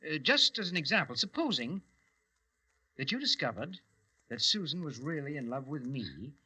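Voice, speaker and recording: Brooding, menacing man, intensely bright voice. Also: on the high side, very animated, breathy edge, exaggerated voice, low-quality recording. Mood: taunting, sinister. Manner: theatrical, deliberate, with dramatic hesitations. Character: Harvey, brooding, menacing man fascinated by murder. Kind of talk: old-time radio horror acting, first-person narration. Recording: old radio recording with music.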